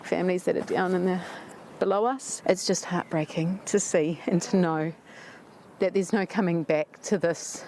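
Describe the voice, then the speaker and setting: A woman speaking haltingly as a local resident in a news interview. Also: on the low side, fairly even intonation, a little mellow, a little hiss or hum.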